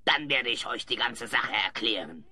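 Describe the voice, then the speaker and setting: An actor playing a sly old hunchbacked coachman and kidnapper with a hoarse, breathy rasp in an audio drama. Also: mid-range voice, lively intonation, normal speed, bright, forceful tone, no room sound, exaggerated voice. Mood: grudging, cunning. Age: older. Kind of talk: German audio drama acting.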